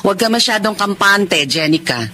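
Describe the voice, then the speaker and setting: A cold, imperious Filipino aunt in a radio drama. Her voice is sharp and forceful, swooping widely in pitch as she speaks. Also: expressive, normal speed, a little hiss or hum. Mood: cold, haughty. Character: stern, haughty aunt, cold and dismissive. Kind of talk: Tagalog radio drama acting.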